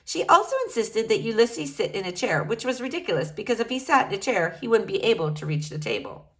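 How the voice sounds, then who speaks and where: A woman's voice: a little high, big pitch swings, quick pace, fairly bright tone. A woman reading a children's novel aloud, shifting her voice for each character as she goes. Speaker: reader.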